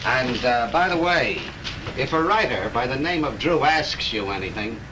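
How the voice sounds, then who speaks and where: Actor as a measured police captain. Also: male voice, mid-range voice, lively intonation, lightly acted. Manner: questioning, measured. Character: police captain, steady investigator. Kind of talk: 1930s film acting. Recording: hissy 1930s film soundtrack.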